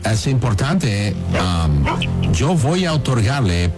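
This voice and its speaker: A man with a lowish, animated voice speaks as an immigration officer. He is hesitant and apologetic, pausing often and slipping between Spanish and English. Music plays clearly behind him.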